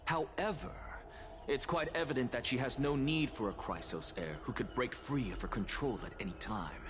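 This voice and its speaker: A man voicing a haughty heretic scholar in a video game, speaking in an eloquent, measured, condescending way. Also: mid-range voice, noisy background, close to an everyday voice. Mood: dry disdain. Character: haughty male heretic scholar and academy leader.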